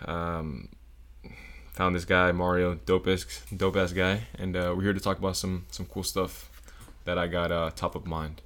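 A young man from South Florida with a very deep, low voice guests on a casual podcast. He talks thoughtfully and hesitantly, filling pauses with ums as he works out what to say. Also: flat delivery, a bit bright. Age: young adult. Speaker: podcast guest, engineering student.

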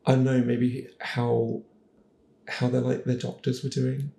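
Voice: mid-range voice, monotone, a little slow, vocal fry, warm tone. A panellist chatting casually in a tentative, playful way. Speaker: podcast panellist. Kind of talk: casual chat. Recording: roomy sound with light noise.

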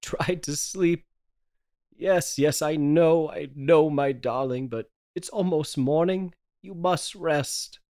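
A man's voice: on the high side, takes it slowly, darkish tone. A man playing a frantic, guilt-ridden husband who dotes on his wife in a melodramatic, pleading horror radio play. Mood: tender, weary. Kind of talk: 1930s horror radio play table read.

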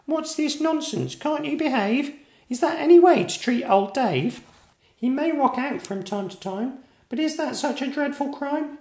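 A man voicing a bold, earnest six-year-old boy knight in a storybook reading, shouting in a high, put-on voice. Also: animated, slow pace, exaggerated voice. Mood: indignant. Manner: shrill indignant shouting. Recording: roomy echoey room.

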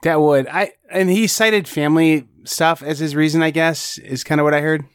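A man's voice: a little high, fairly even intonation, moderate pace, clean tone, no room sound, clean studio sound. Chatty, rambling male podcast co-host. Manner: rambling, self-correcting, chatty. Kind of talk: casual chat.

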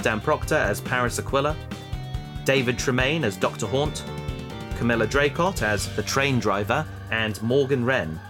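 A man with a medium-pitched voice reads the end credits of an audio drama podcast. He is measured and sincere, addressing listeners in a friendly way, while music plays clearly behind him. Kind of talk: scripted credits narration.

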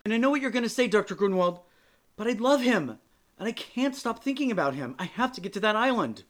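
A man's voice: high voice, lively intonation, a little fast, pitched above the performer's own voice. A man voicing an obsessive, anxious young woman patient who pleads in breathless outbursts. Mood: frantic.

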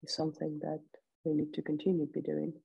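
Woman, gravelly voice, hesitant with restarts, interview guest. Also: sits low, monotone, fast talker, no echo, lo-fi sound. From Ukraine. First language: Russian. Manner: hesitant, many restarts and fillers. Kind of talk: interview conversation.

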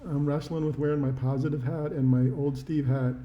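Muffled, very dark-voiced man in an echoey room. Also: a little high, little pitch movement, fairly quick. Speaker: sports podcast co-host. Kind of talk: casual sports commentary.

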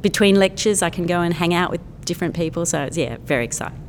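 A woman's voice: lowish voice, fast talker, big-room sound, a little hiss or hum. A middle-aged woman, a former lawyer and painter, chatting as a podcast guest in an enthusiastic, rambling way with many fillers. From Australia. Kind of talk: casual interview chat.

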